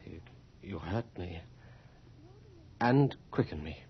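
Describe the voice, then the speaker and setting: An actor playing a hurt, lovestruck poet in a radio horror drama, halting and intense. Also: male voice, low-pitched, animated, a little hiss or hum. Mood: wounded, smitten. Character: hurt, lovestruck male poet, worldly-naive. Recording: dull old radio drama.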